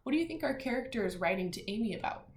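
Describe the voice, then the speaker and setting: A woman teacher giving a read-aloud vocabulary lesson to young children, enunciating deliberately, repeating phrases and putting questions to her listeners. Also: on the high side, lively intonation. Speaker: primary school teacher. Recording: echoey room.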